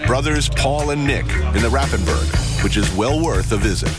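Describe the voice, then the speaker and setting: A man's voice: mid-range voice, expressive, moderate pace, loud music behind the voice. A middle-aged or older man hosting a travel show with dry, wry humor, in narration and casual talk.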